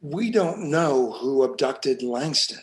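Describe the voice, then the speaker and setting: A man acting a calm police detective in a screenplay table read, with measured, procedural questioning. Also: medium pitch. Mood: businesslike. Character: steady, businesslike male police detective.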